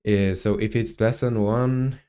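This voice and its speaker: A man in his twenties with a Chilean Spanish accent, talking through his coding on a live stream, halting and thinking aloud with many fillers. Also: on the low side, fairly even intonation, dark tone, clean tone. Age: 20s. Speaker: game developer streamer.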